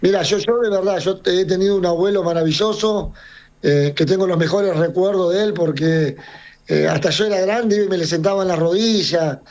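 Rambling middle-aged man, high voice. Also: normal speed, darkish tone. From Serbia. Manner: rambling with frequent restarts and repeated words. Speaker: circus owner. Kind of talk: casual interview chat. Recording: dull lo-fi sound.

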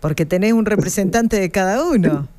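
A man's voice: sits high, a little fast, a little mellow. A man interviewing a guest in a conversational style, listening attentively and often answering with short affirmations.

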